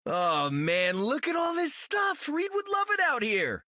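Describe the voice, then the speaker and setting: A man playing a cocky, excitable young superhero in a video game, with a breezy, enthusiastic manner. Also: extremely high pitch, a little slow, no room sound, exaggerated voice. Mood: excited, breezy. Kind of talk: video game character voice acting.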